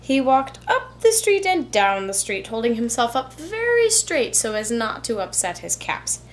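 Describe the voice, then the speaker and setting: A woman preschool teacher reading a children's storybook aloud in an expressive, deliberate, rhythmic storytelling way. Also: a little high, slight background noise.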